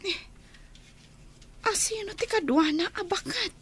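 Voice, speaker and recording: A woman's voice: very high voice, a little slow, a bit bright. A young woman playing an earnest, respectful village girl in a radio drama, in a plaintive, polite manner. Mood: flustered. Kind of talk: Ilocano radio drama acting.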